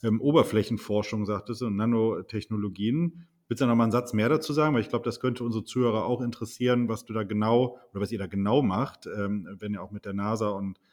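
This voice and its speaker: A man's voice: fairly low pitch, fast, a little mellow. Admiring man hosting a podcast interview. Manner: admiring, rambling questions with fillers. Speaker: podcast host.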